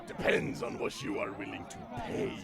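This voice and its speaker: A man playing a scheming, theatrical villain doctor in a comedy audio drama, with a sly, drawn-out delivery. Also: crowd noise, natural voice. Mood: sly, grandiose. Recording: very noisy, hissy recording.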